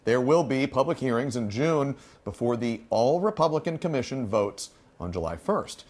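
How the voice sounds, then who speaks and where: A male news anchor with a lively, varied pitch and a slightly husky edge. He moves the show along smoothly and conversationally. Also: normal speed. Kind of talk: scripted news presenting.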